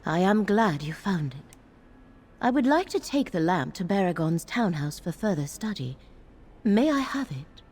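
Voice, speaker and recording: A woman's voice: on the low side, sing-song, measured pace, a little mellow, slightly rough, heavily acted. An actress playing a grave female scholar in a fantasy game, speaking formally and precisely with composure. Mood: concerned, inquiring.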